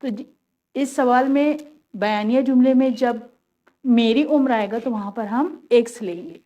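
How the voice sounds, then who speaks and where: Woman, maths teacher, patient, step-by-step explanation, slightly high voice. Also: a little slow, mellow, lo-fi sound. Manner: patient, step-by-step, asks and answers her own questions. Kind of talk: classroom lesson, explaining worked examples.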